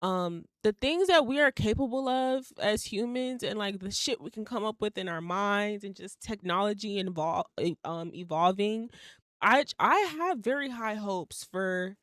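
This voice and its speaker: A woman's voice: mid-range voice, moderate pace, no echo, clean studio sound. Young woman rambling casually on her podcast. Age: young adult. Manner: rambling, lots of fillers, swears casually, laughs mid-sentence. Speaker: podcast host. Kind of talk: casual solo podcast monologue.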